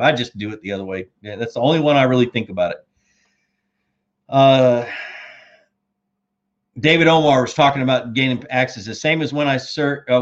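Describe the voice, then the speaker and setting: A man's voice: medium pitch, highs cut off. Laid-back man losing his voice. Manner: laid-back, says dude and man, reads viewer comments aloud. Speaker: fishing channel host answering viewer questions. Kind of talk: casual live chat.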